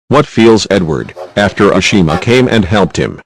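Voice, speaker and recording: A man's voice: on the low side, animated, moderate pace, slightly rough, no room sound. A man reading scripted narration for a children's quiz video, with simple prompts in non-native English phrasing.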